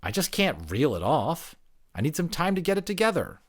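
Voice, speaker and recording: Man acting a hesitant pupil, halting and animated. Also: fairly high pitch, voice pushed up, exaggerated voice. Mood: reluctant, flustered. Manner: halting, groping for words, thinking aloud. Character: educated male pupil, hesitant, unsure, defensive. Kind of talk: dramatic audiobook character acting.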